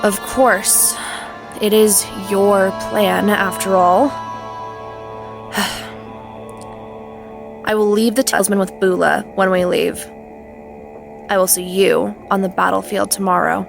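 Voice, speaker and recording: A woman playing a sly, scornful dark sorceress with a mocking, menacing drawl in a fantasy audio drama. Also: mid-range voice, fairly even intonation, takes it slowly, gravelly, long pauses, over music. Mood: icy compliance.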